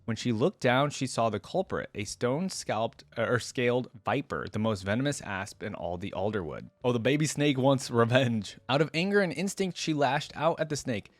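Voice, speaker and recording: A man's voice: medium pitch, animated, normal speed, hardly any pauses, dry recording. A man hosting a reaction video, reading a story aloud with casual commentary.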